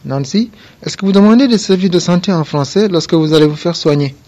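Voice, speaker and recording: A man with a fairly high voice does street interviews for a reporter segment. He asks short, brisk, repeated questions. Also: dark tone.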